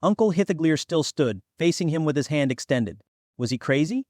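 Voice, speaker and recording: A man's voice: a little high, lively intonation, normal speed, darkish tone, dry recording, clean studio sound. A man reading scripted fantasy fiction as an audiobook narrator.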